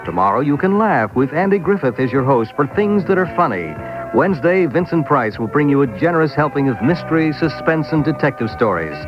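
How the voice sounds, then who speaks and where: Lively, higher-pitched man announcing radio promos. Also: a little fast, music in the background. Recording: dull old radio recording.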